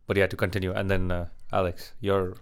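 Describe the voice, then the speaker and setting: A man's voice: low voice, little pitch movement, fairly quick, clear, resonant tone. A man hosting a casual, joking conversation in a teasing manner. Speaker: podcast host.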